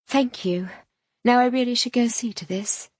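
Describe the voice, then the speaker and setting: A woman reading an audiobook, voicing a proud, tired young noblewoman who speaks in terse, quiet lines. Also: on the high side, moderate pace, no room sound, pitched above the performer's own voice, lightly acted. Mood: curt.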